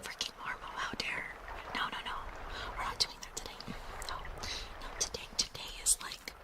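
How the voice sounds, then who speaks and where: A woman hosting an ASMR livestream, whispering breathily and close to the mic in a playful, rambling, apologetic way. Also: normal speed. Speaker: livestream host.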